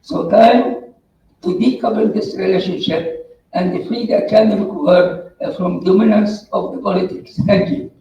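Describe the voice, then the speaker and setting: A man's voice: high-pitched, steady tone, moderate pace, gravelly, dark tone, dull audio, a little hiss or hum. A man from the audience giving an earnest comment at a conference, his phrasing non-native, recorded from far off in a hall. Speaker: audience member. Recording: roomy hall, far from the mic.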